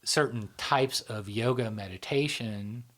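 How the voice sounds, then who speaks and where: A man's voice: on the low side, a little slow, a bit bright. A man, the founder of a psychology channel, explaining ideas in casual conversation with many fillers and tag questions, often trailing into a near whisper.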